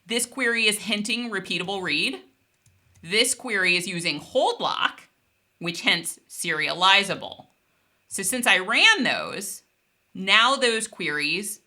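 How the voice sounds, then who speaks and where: Female tech instructor, slightly low pitch with a very bright, sharp tone; conversational, emphatic explainer delivery. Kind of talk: technical software lesson, conversational explaining.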